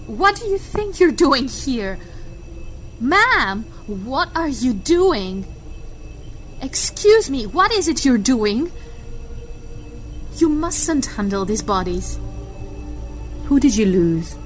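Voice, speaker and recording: A firm, compassionate hospital worker played by a woman speaks at a slow pace in a fairly high voice. Light music plays under this audio drama figure. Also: very animated, full character voice. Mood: stern. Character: firm, caring female hospital worker hardened by death.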